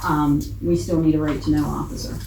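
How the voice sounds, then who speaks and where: A woman's voice: low voice, little pitch movement, brisk. A plain-spoken American woman chairing a council meeting as its president, using informal parliamentary procedure. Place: indoor council chamber. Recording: echoey meeting room with clear background noise.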